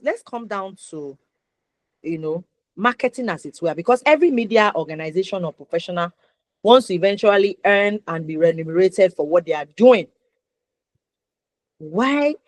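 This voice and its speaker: A woman's voice: mid-range voice, dry recording. Nigerian woman hosting, dry close sound. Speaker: interview host. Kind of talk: conversational interview discussion.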